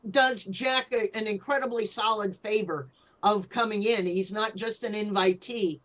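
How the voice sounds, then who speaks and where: A woman speaking as a panelist in a casual discussion, halting as she searches for words, with lo-fi sound. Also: medium pitch, fairly even intonation, fairly bright tone. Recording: dull lo-fi sound.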